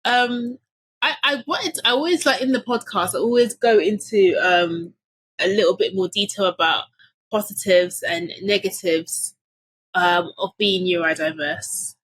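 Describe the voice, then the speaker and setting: A woman's voice: medium pitch, unhurried, fairly bright tone, husky, no echo, poor audio. A woman from London hosting a podcast, chatting casually and laughing often between her sentences. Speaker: podcast host. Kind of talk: casual conversation.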